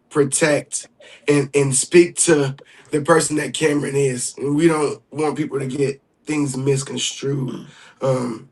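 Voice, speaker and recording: A man speaking as a livestream guest, explaining carefully and haltingly, often clearing his throat. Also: mid-range voice, poor audio.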